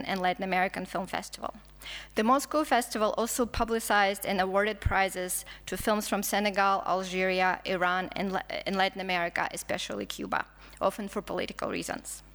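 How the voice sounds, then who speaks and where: A woman's voice: medium pitch, fairly bright tone, big-room sound. A woman with a Russian accent giving an academic conference talk, reading with frequent stumbles, restarts and fillers. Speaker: film historian lecturer.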